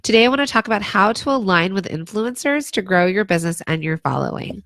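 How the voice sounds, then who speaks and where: A hoarse woman consultant giving casual advice on a livestream, chatty, with lots of "like" and "um". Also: mid-range voice, lively intonation, quick pace, a bit bright, no room sound. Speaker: brand consultant.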